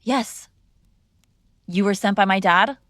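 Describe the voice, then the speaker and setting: A woman's voice: medium pitch, slow pace, fairly bright tone, no echo, studio quality. A young woman performing an audio roleplay as a defiant, sarcastic mob boss's daughter arguing with her father. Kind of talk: scripted ASMR girlfriend roleplay.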